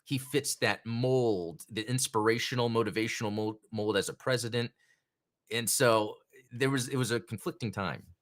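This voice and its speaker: A man hosts a relaxed live stream and talks in loose, rambling sentences. He drifts from one thought to the next, then stops to read out names from the chat. His voice sits at an ordinary middle pitch. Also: normal speed. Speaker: podcast host.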